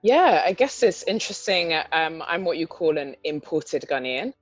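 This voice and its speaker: A woman's voice: deep voice, big pitch swings, moderate pace, no room sound. A woman from England with a London-area accent, answering interview questions as a guest entrepreneur in a thoughtful, articulate way with frequent "um" fillers. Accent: English, London area. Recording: dull lo-fi audio with faint guitar music.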